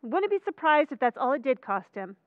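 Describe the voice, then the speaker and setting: Female actor as wry, busy working-class wife, old-time radio comedy. Very high, animated voice, brisk delivery. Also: dry recording, phone-quality sound. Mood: unimpressed. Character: busy, sharp-tongued but affectionate working-class housewife.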